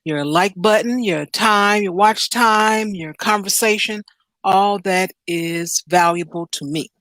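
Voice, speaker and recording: A woman's voice: mid-range voice, slow, fairly bright tone, dry recording. A woman hosting a livestream who thanks her viewers and signs off in an upbeat way. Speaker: livestream host. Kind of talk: casual livestream sign-off.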